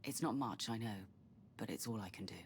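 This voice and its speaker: An actress playing a weary, earnest middle-aged scientist in a video game. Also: female voice, rumbling low, brisk, slight background noise. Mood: resigned, earnest. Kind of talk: video game cutscene acting.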